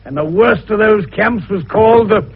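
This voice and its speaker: A man's voice: high voice, normal speed, slight background noise, natural voice. A man playing a jovial, shrewd older British doctor turned detective in 1950s radio drama, avuncular, folksy and teasing. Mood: explaining triumphantly. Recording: dull vintage radio recording.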